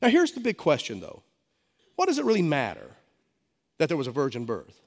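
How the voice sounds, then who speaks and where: A middle-aged man preaching with a stuffy head cold, leaning on words and restarting phrases as he goes. His pitch is a touch high and swings widely, rising and falling with each point. The sound carries a long hall reverb, as in a church sanctuary. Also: wildly swooping pitch, brisk. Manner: emphatic preaching with frequent stammered restarts. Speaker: pastor. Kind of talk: sermon.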